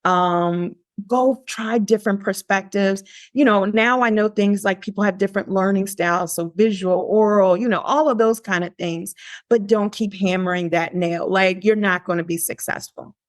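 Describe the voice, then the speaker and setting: A woman guest on a podcast, telling a personal story in a lively, conversational way, often pausing on "like". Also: medium pitch, no room sound, clean studio sound. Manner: animated, lively quoting of her younger self; frequent "like" fillers and restarts.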